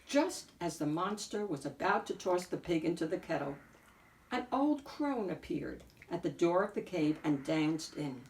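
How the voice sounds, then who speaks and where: A woman reading a picture book aloud as an expressive narrator, phrasing deliberately with emphatic swells. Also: lowish voice, distant mic, low-quality recording. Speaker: picture book reader and host.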